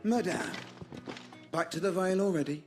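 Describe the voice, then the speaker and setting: An actor playing a coarse, swaggering city guard in a video game, with a sneering manner. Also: male voice, sits high, lively intonation, moderate pace. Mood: leering, jovial. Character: coarse, contemptuous male city guard, familiar with the madam. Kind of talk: video game cutscene acting. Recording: music clearly behind the voice.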